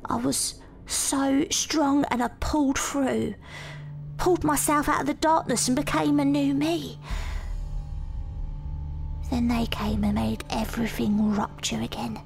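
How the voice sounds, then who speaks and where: Woman playing haunted young Victorian woman, hushed near-whisper narration, very gravelly voice. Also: high voice, measured pace, long pauses, soft background music. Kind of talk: first-person audio drama narration.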